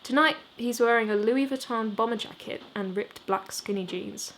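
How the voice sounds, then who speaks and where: A woman reading her own novel aloud in an expressive audiobook narration style, as its author. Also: fairly high pitch, normal speed. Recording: steady background noise. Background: rain ambience.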